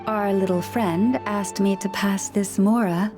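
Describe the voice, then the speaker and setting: A woman voice actor plays a gentle forest caretaker in a video game. She is a woman who speaks soothingly and with measured care at a middle pitch, kind toward every animal. Music plays behind her. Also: steady tone, close to an everyday voice. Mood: kindly. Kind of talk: video game character dialogue.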